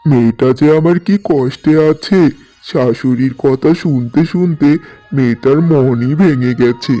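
A man's voice: medium pitch, a little slow, warm tone, close to an everyday voice. A man voicing an earnest, protective middle-aged village father in a cartoon story. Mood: concerned. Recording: music bed under the voice.

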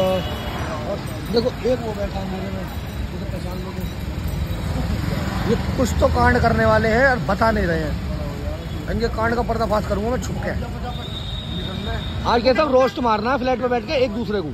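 High-voiced young man vlogging by phone. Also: moderate pace, long pauses. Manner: playful teasing commentary. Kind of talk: casual vlog chat. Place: gym, car and street outdoors. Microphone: phone held at arm's length. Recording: noisy street and gym background.

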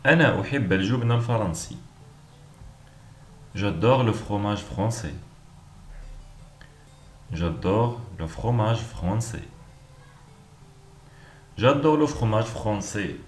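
Man, low voice, deliberate pronunciation, phrase drills, roomy echo. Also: lively intonation. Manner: clear, deliberate pronunciation, repeats each phrase. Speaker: language teacher. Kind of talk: slow repeated phrase drills. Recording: echoey room with faint noise.

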